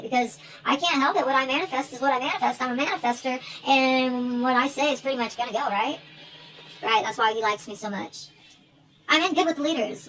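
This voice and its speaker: A woman's voice: high-pitched, bright, forceful tone. An American woman chatting in a rambling, informal one-sided phone call for an online video, drifting between asides. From United States.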